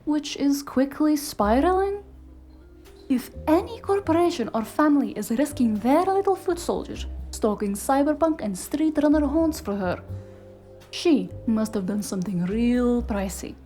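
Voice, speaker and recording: Actress for cyberpunk noir audio drama fixer: sharp woman, higher register, scolding, emphatic, streetwise. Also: lively intonation, unhurried, soft background music. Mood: wry, curious.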